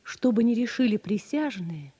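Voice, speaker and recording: An actress playing a devoted young sweetheart, speaking gently and softly to console her beloved in a radio drama. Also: a little high, animated, normal speed, breathy edge. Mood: tender. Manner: gentle, soft, encouraging. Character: tender, devoted woman Sue consoling her imprisoned beloved. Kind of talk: Soviet radio drama, letter voice-over. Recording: 1960s radio recording, soft violin behind.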